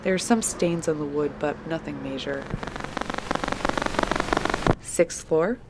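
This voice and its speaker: An actress playing a diligent, polite young researcher, speaking matter-of-factly into a field recorder in an audio drama. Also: female voice, low-pitched, slow pace. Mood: businesslike. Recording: noisy handheld field tape with clicks.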